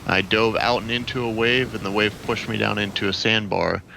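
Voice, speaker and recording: An American man in his late twenties giving a measured, matter-of-fact account as an interview guest. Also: lowish voice, fairly even intonation, gravelly, sharp tone, a little hiss or hum, low-quality recording. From Ohio, United States. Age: late 20s. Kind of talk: recounting personal experience in an interview.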